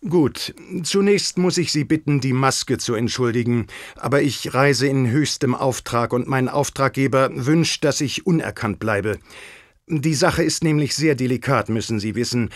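A man's voice: mid-range voice, moderate pace, no room sound, studio quality, close to an everyday voice. An actor playing a proud, formal and secretive king with stately diction in a German radio play. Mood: grave, secretive.

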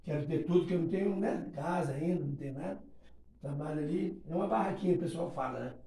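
A middle-aged Brazilian man giving an informal testimony at a home worship gathering in halting, repetitive phrases, recorded by a phone across a small room. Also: a little high, gravelly, warm tone, roomy sound, recorded from a distance. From Rio de Janeiro, Brazil. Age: middle-aged, around 50s. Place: small living room, indoors. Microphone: phone held a few metres away.

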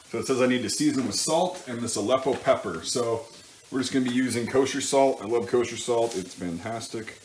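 A man with a fairly low voice talks casually through his cooking at the stove. He thinks aloud and corrects himself in an informal way, with frying and stirring noise behind him in a home kitchen. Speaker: home cook hosting a cooking show. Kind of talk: casual cooking commentary while working. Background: sizzling pan and stirring sounds.

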